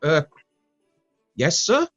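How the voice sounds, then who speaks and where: A woman's voice: sits low, big pitch swings, dull audio, faint music behind, full character voice. Woman acting a flustered, swooping charity collector. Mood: astonished. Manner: halting, drawn-out exclamations. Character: flustered, astonished charity collector, polite and deferential. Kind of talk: radio play acting.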